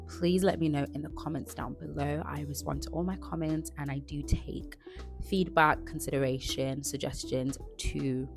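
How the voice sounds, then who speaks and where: A woman of twenty-five from Zimbabwe, chatting casually to camera as a vlogger, relaxed and chatty. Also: sits low. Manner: chatty and relaxed, with frequent "like" and restarts.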